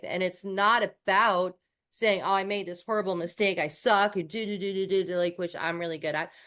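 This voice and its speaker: A woman's voice: medium pitch, fairly bright tone. A woman from New York co-hosting a coaching podcast, talking in a candid, self-deprecating way with frequent restarts. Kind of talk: casual podcast chat.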